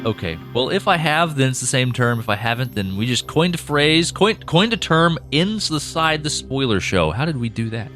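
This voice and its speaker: A man's voice: mid-range voice, sing-song, a bit bright, faint music behind. A man co-hosting a casual behind-the-scenes podcast chat, talking loosely and laughing often. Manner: chatty, laughs often, acts out quick voices for characters. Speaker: podcast co-host and show creator.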